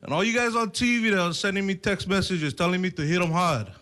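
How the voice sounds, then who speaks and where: A man from Hawaii, giving forceful, emphatic public testimony at a hearing. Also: high-pitched, fast.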